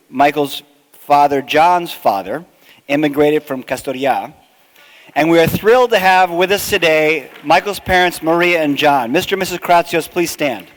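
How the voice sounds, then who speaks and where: A man introducing a guest speaker at a conference, reading his prepared remarks in a measured, deliberate way from a podium. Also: on the high side. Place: large hotel ballroom. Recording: long hall reverb.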